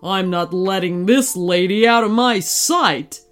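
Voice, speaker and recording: A woman voicing a proud, blustering male tiger in a children's story, with a gruff, defiant growl. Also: mid-range voice, slow, soft background music, close to an everyday voice.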